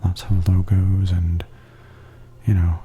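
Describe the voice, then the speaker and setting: A man giving softly spoken ASMR tour commentary in a breathy half-whisper, calmly and gently describing things as a host. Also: deep voice, dull audio, a little hiss or hum. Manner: calm, unhurried, gently descriptive.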